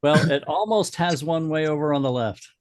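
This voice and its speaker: A man's voice: fairly high pitch, normal speed, no room sound, clean studio sound. A man giving casual critique at a camera club, stammering a little and directing how to crop an image.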